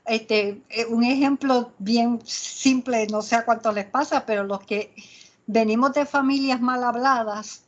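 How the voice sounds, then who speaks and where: A woman leading a group Bible study, mixing in English fillers and laughing often. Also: fairly high pitch. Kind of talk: group discussion moderating. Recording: muffled video call audio.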